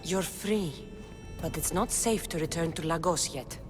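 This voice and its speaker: A woman's voice: on the low side, unhurried, lightly acted. A woman voicing a determined young female mercenary of ancient Greece in a video game, speaking firmly and bluntly. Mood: resolute. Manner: firm, blunt. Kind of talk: dramatic video game dialogue. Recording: game soundtrack music behind the voice. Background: music.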